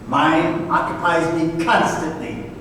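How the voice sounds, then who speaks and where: Cold old miser, played by a man, high unhurried voice, radio-play style, heard from afar in an echoing hall. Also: level tone, fairly bright tone. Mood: cold, dismissive. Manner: curt, grudging, dismissive. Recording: distant echoey hall, noticeable hiss.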